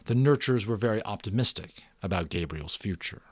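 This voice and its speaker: A middle-aged man who reads and hosts an audiobook in an expressive, earnest way, with casual commentary. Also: on the low side, brisk, no echo. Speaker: audiobook reader and host. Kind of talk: dramatic book narration with casual commentary.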